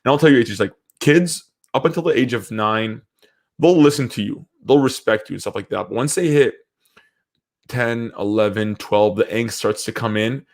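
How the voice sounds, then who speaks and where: A man's voice: fairly low pitch, normal speed. A man from Syria talking casually and emphatically as an opinionated podcast host, repeating phrases to drive points home.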